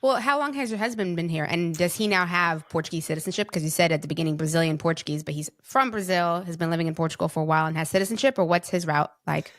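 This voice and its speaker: A woman's voice: fairly low pitch, fast, few pauses. A woman hosting a podcast, asking questions and responding in an informal, conversational interview style. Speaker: podcast host. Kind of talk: casual interview chat.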